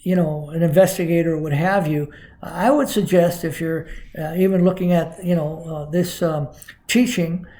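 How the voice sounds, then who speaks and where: A middle-aged man, a former investigator, giving an unscripted lecture on criminology, talking haltingly with many ums, uhs and restarts. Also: fairly high pitch, steady tone, mellow. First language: Spanish.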